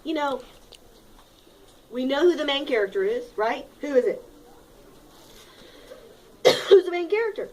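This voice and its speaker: A woman's voice: high-pitched, expressive, unhurried, pauses often, a little mellow, poor audio. A woman teacher reading a storybook aloud expressively, stressing points and putting questions to her pupils in an instructive way. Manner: didactic, dramatic emphasis. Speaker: elementary school reading teacher.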